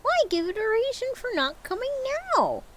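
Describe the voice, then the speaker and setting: A woman reading aloud and voicing a cheerful, earnest young man who pleads warmly with his uncle. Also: very high voice, very animated, normal speed, gravelly, a little hiss or hum, voice pushed up, exaggerated voice. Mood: pleading. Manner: pleading, warm-hearted.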